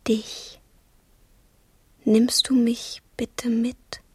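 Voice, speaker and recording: Radio drama role: smitten, curious young girl, slightly high, hushed husky half-whisper, slow, hesitant and naive. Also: level tone, dry recording, exaggerated voice. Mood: shy, pleading. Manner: hesitant, wondering, childlike questioning. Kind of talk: intimate radio drama acting.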